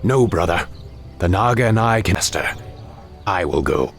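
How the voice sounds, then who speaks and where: Brooding demon elf exile, very low voice, formal, theatrical, fantasy game, faint music behind. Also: male voice, lively intonation, normal speed. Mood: solemn. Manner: grave, formal, theatrical delivery. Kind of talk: dramatic fantasy game acting.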